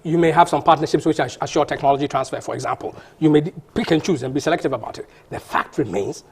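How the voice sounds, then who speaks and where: A man's voice: on the high side, quick pace, a little hiss or hum. A man, a panel guest arguing insistently in a heated TV debate, often restarting and repeating words.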